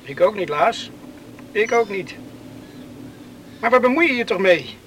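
A man's voice: high voice, lively intonation, measured pace, fairly bright tone, a little hiss or hum. An actor playing a stubborn, defiant young man in a radio play, blunt and dismissive in manner. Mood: defiant, irritated. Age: young adult.

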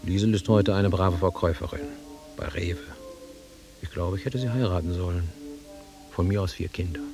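An actor playing a volatile, nostalgic country-bred man in a German radio drama, brooding and taunting, with a rough husky voice that swings from muttering to outbursts. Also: low voice, normal speed, music in the background.

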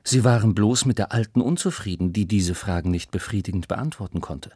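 Male narrator, children's educational audiobook. Husky grain, measured storytelling, close dry studio sound. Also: fairly low pitch, darkish tone, dry recording. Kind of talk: scripted explanatory narration for children.

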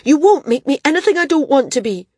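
A woman doing a shouting defiant boy. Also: high voice, very animated, fast, no echo, clean studio sound, voice pushed up. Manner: shouting, raw and pleading. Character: defiant tearful boy, young teen.

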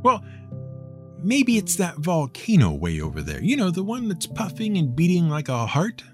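A man's voice: high-pitched, huge pitch swings, moderate pace, soft background music, voice pushed up. A man voicing timid, starving villagers in a storybook folk tale.

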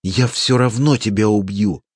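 A grasping, hysterical fat man yells in a strained, raised voice, as a male narrator puts him on. The voice stays husky and close, with a dry, dead sound. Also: on the low side, fairly even intonation, unhurried, no echo. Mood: shrieking, furious. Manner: shouting shrilly, frantic.

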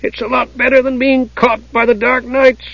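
Guy doing a high squeaky little kender for a fantasy audiobook, eager, chatty, super curious. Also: moderate pace, gravelly, no room sound, muffled recording, heavily acted. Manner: excitable, guileless, polite chatter.

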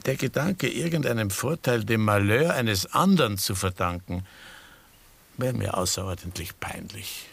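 Low, gravelly, formal doctor. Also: male voice, lively intonation, normal speed, fairly bright tone, dry recording, heavily acted. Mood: dry, principled. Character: proud, principled, dryly polite male doctor. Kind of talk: 1960s German radio drama acting.

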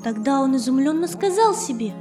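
A woman narrating a scripted children's story in a measured, expressive storytelling manner. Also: high voice. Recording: echoey studio, faint music behind. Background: faint music.

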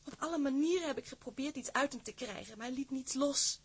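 An actress playing a timid, lovelorn young fiancée in a period drama, halting, formal and emotional. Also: female voice, high voice, natural voice. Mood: anxious, tearful. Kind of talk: Dutch period radio drama acting.

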